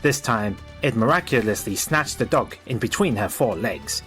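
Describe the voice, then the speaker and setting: A man's voice: fairly low pitch, animated, moderate pace, music in the background. A man narrating a scripted story in a storybook style.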